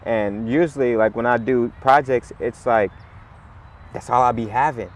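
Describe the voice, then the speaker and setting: A man, chatting in a relaxed, rambling way as an interview guest, full of "like" and "you know". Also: medium pitch, normal speed. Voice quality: raspy. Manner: laid-back, rambling, heavy on "like" and "you know". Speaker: musician guest. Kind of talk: casual interview chat.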